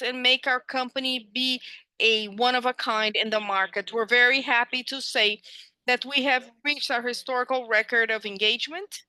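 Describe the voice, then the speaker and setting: Woman, live business interpreter, halting phrase-by-phrase delivery, crisp voice, very dry close sound. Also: fairly high pitch, bright, forceful tone, no room sound, rough recording. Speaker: interpreter on an earnings call. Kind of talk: live interpreting of a corporate presentation.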